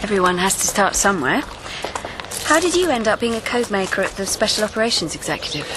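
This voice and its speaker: Actress as young british tv researcher, precise n curious, mid pitch, radio play, noisy background. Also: female voice, big pitch swings, quick pace, lightly acted. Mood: correcting, dry. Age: young adult. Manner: matter-of-fact, correcting, inquisitive. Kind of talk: radio drama acting. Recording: clear background noise.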